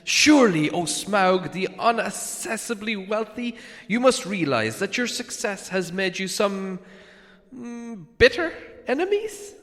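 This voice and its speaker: Small nervous hobbit for a storybook, high and animated, stammering but determined, voice ringing in a big cavern. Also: male voice, full character voice. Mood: nervous defiance. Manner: halting, earnest, stammering. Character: small male hobbit, flustered, loyal, trying to sound brave. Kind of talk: dramatised storybook reading. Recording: long cavernous reverb effect.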